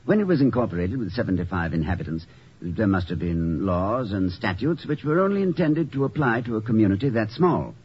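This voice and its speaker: An actor playing a doting, dreamy college president in a 1950s radio drama, with a flustered, stammering, genteel way of talking, on a muffled old recording. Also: male voice, fairly low pitch, sing-song, brisk, a little hiss or hum. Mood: eager, scheming. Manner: flustered stammering, effusive, genteel turns of phrase.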